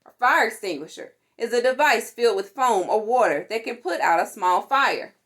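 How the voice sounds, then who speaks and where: A woman reading a children's safety book aloud in an animated, instructive manner. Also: fairly high pitch, moderate pace. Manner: animated, instructive, acts out sound words. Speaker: storytime reader.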